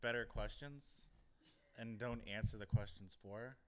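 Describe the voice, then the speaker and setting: A man co-hosting a comedy podcast, teasing in a deadpan, awkward way. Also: fairly low pitch, moderate pace, noisy background. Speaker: podcast co-host. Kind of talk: casual comedy podcast banter.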